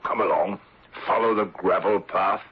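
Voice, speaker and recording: Deep-voiced, measured English insurance manager. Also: male voice, steady tone, slightly rough. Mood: curious, suspicious. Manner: measured, formal, deliberate. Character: Edgar Sampson, shrewd, wary English insurance manager. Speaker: actor narrating and playing the lead. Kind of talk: 1970s radio drama acting. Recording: dull old radio recording with music.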